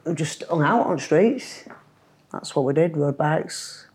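A woman's voice: deep voice, animated, takes it slowly, a little mellow, slight background noise. A middle-aged woman from Leeds with a Yorkshire accent, a podcast guest recalling her childhood in a chatty, laughing way. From Leeds, England. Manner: chatty storyteller, laughs often. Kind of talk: casual podcast interview.

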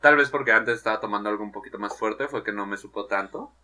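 A man's voice: fairly low pitch, fast talker, sharp tone. A young man, co-hosting a beer tasting and joking bluntly in slang. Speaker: co-host of a beer tasting show. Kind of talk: casual chat.

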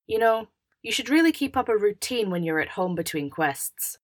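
A woman's voice: mid-range voice, animated, moderate pace, close to an everyday voice. A woman playing an overbearing, self-important elder brother with a preachy, over-hearty manner in a fan audio drama. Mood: hearty, patronizing.

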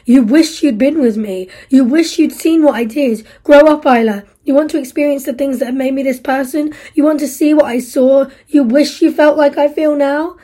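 Woman doing a wrecked angry guy in a story, bitter, mellow husky voice. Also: fairly high pitch, steady tone, moderate pace. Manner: anguished, bitter, raised voice. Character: grief-stricken angry young man, survivor.

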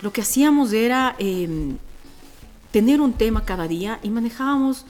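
Ecuadorian lady w a deep strong voice, radio host type, chatty n animated, slangy, music in the background. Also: normal speed. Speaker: radio presenter being interviewed. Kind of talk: casual podcast chat. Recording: music playing behind the voice.

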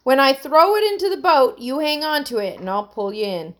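A woman's voice: high voice, animated, a little slow, pitched above the performer's own voice. A woman voicing an earnest, stubborn boy in a children's story, confident and insistent. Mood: instructing. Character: earnest, stubborn boy inventor, sure of his plans.

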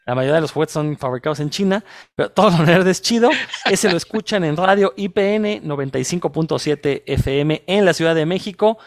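A man's voice: fairly high pitch, lively intonation, brisk. A man with a chilango Mexico City accent, speaking as a podcast co-host in an emphatic, opinionated film discussion full of tag questions.

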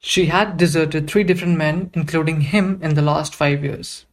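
A man's voice: a little high, steady tone, moderate pace. A man narrating an audio play from a script, with music behind him.

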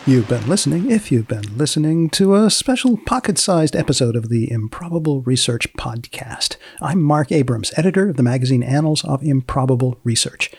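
A man hosting a podcast, putting questions and presenting in a measured, deadpan, inquisitive way. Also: medium pitch, creaky, husky, no room sound. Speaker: podcast host and magazine editor.

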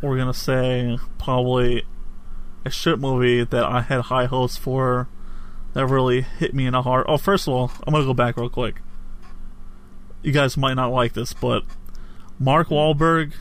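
A man's voice: medium pitch, level tone, unhurried, clean tone. A man co-hosting a podcast, giving opinions on films in casual, slangy talk with some swearing. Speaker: podcast co-host. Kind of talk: casual chat, film opinions.

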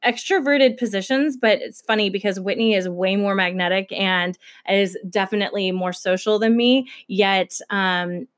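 A woman in her thirties from Kentucky and Detroit, co-hosting a casual podcast in a fairly even, chatty way with many fillers. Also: mid-range voice, moderate pace, hardly any pauses. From Kentucky, raised also in Detroit. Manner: self-described monotone, chatty with frequent fillers and laughs. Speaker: podcast co-host.

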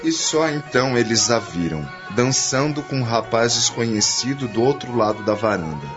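A man's voice: mid-range voice, animated, normal speed, close to an everyday voice. A man narrating a scripted radio drama. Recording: dull radio play with background music.

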